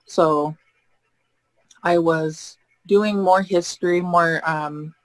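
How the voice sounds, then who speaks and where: A woman's voice: on the low side, steady tone, extremely slow, dry recording, poor audio. Earnest young woman giving a keynote talk. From United States. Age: young adult. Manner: earnest, reflective, frequent ums. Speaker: keynote speaker. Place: indoor room on a video call. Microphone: webcam mic on a video call.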